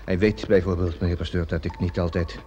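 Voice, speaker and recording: An actor playing a solemn, argumentative village schoolmaster in a radio play, speaking haltingly. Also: male voice, low-pitched, little pitch movement, dark tone, natural voice. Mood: solemn, contrite. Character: village schoolmaster confessing to his rival the priest. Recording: noisy old radio play recording.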